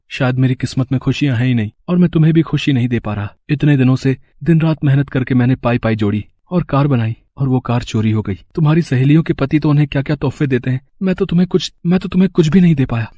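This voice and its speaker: Mellow, earnest young husband, acted by a man. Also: medium pitch, fast, few pauses, no room sound, natural voice. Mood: dejected. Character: earnest, humble young mechanic husband, easily disheartened. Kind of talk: animated moral story voice acting.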